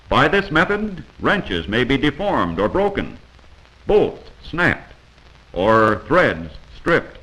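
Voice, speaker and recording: A man's voice: medium pitch, sharp tone, low-quality recording. An American man narrating a scripted 1940s instructional film in a didactic, admonishing manner, heard on an old film soundtrack.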